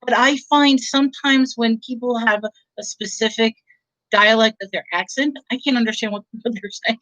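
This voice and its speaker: A woman's voice: a little high, pushed and bright, no room sound, lo-fi sound. A middle-aged woman with a New York accent, chatting with viewers on a livestream, rambling and correcting herself. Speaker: reseller livestream host. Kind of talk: casual live chat with viewers.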